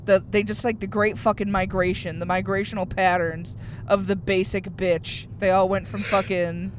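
A man riffing and ranting profanely in a casual podcast chat recorded in a car, jumping into animated bits. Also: normal speed. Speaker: comedian guest. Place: inside a moving car. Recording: faint road noise in a car. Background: car rumble.

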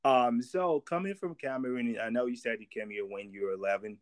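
A young man hosting a casual podcast, talking in a relaxed, rambling way with lots of fillers and restarts. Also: mid-range voice, fairly quick. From immigrant to America. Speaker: podcast host.

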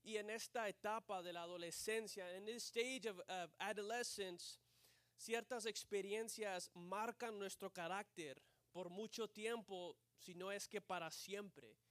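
A man's voice: sits high, measured pace, fairly bright tone, no echo. A man preaching a sermon at a church service, translating himself between Spanish and English phrase by phrase and often saying amen. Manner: switches between Spanish and English, frequent amens.

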